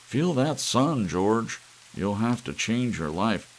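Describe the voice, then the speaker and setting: A man's voice: on the low side, sing-song, slow pace, pitched above the performer's own voice, full character voice, poor audio. A man voicing a blunt, grave psychologist who warns emphatically, in an audiobook story with rain behind. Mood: stern, urgent. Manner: emphatic, admonishing. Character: blunt, grave male psychologist warning a friend. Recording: audible hiss with rain-like noise.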